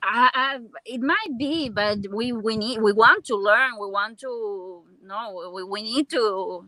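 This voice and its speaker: A woman with a mixed Russian and Cuban Spanish accent, chatting as an interview guest and often pausing to find her English words. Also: a little high, sharp tone, no echo, rough recording. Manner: halting, searching for English words. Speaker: guest vlogger. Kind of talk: casual interview chat.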